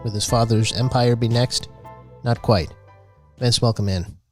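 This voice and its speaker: A male podcast host leading a mock public-radio style interview in a dry, deadpan manner. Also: mid-range voice, a little slow, faint music behind.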